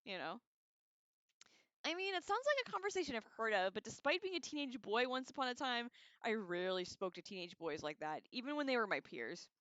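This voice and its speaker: A woman's voice: a little high, big pitch swings, a little fast, a bit bright, dry recording. A woman hosting a casual, rambling livestream discussion with an irreverent, slangy manner, on a slightly dull microphone. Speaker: livestream host.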